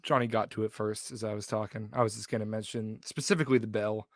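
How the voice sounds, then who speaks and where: A man co-hosting a podcast in casual chat, speaking haltingly and correcting himself as he goes. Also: on the low side, steady tone, no room sound, studio quality. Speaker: podcast co-host.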